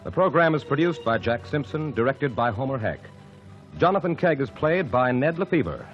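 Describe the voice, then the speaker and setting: A man's voice: mid-range voice, big pitch swings, normal speed, soft background music. A man announcing a radio program in a formal 1940s style, reading credits and sponsor copy. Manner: smooth, formal announcer delivery. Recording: dull old radio broadcast.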